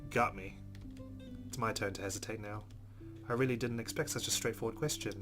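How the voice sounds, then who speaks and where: A man hosting a let's play, reading visual novel narration aloud in a measured, expressive way with casual asides. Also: lowish voice. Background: game music with plucked guitar.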